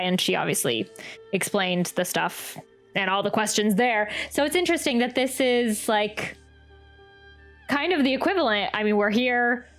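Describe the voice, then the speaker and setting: A woman's voice: medium pitch, fairly bright tone. A woman streaming a game, reacting in casual, chatty, exclamatory commentary.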